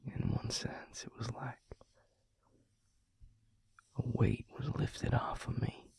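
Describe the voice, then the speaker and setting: A man playing a tender, weary vampire lover in an intimate audio roleplay, speaking haltingly in a hushed, breathy, gravelly voice. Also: natural voice. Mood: raw, vulnerable. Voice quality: breathy, husky, gravelly half-whisper. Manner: hushed, halting, with long pauses.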